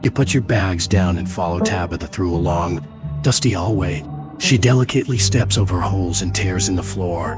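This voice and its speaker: A man narrating a gothic mystery game as a brooding storyteller, in a measured, atmospheric scripted style. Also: low voice, loud music behind the voice, close to an everyday voice.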